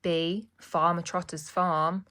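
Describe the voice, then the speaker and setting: A woman's voice: fairly low pitch, little pitch movement, slow, pushed and bright. A woman reading a children's story aloud as narrator and quiz host.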